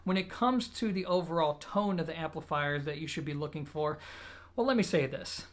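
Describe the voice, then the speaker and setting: Man explaining in a steady, relaxed voice. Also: fairly high pitch, normal speed. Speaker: audio equipment reviewer. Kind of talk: scripted product review.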